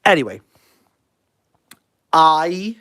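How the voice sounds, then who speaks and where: A man's voice: on the high side, sing-song, drawn-out words, sharp tone. A Welsh man in his thirties hosting a casual livestream, rambling and self-deprecating as he answers viewer comments. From Wales. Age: 30s. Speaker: livestream host.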